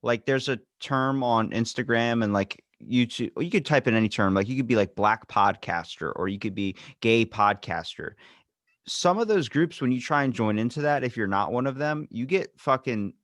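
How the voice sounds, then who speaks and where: A man's voice: mid-range voice, moderate pace, no room sound. Young man chatting loosely, close dry mic. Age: young adult. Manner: loose, profane, animated, acts out little scenes. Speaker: podcast host. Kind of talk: casual podcast chat.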